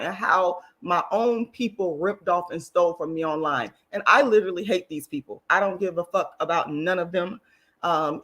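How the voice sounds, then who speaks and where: A hoarse woman with a deep voice, hosting her own vlog in a blunt, indignant, repetitive way and swearing freely. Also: a bit bright. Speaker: channel host. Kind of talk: casual vlog monologue.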